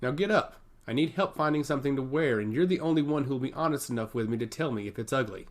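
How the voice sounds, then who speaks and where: A man's voice: medium pitch, quick pace, lightly acted. A man voicing a proud, diplomatic noble sister in a fantasy audiobook, with haughty, articulate delivery. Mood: teasing.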